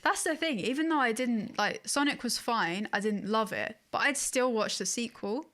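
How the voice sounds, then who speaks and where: A woman's voice: fairly high pitch, normal speed, a bit bright. A woman talking casually as the co-host of a podcast about games and films.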